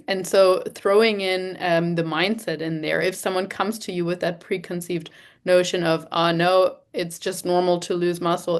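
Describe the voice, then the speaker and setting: A woman with a slightly low voice talks as an interview host. She is conversational and affirming, and thinks her way through her sentences with some hesitation. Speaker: podcast host, nutrition coach.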